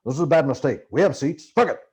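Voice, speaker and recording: A man acts out a profane American journalist in an audiobook, ranting and paranoid. His husky voice leaps about as he fires off emphatic, urgent commands. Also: a little high, animated, fast talker, a little mellow, heavily acted. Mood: indignant, insistent. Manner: urgent, barking, emphatic orders. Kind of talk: dramatized audiobook dialogue.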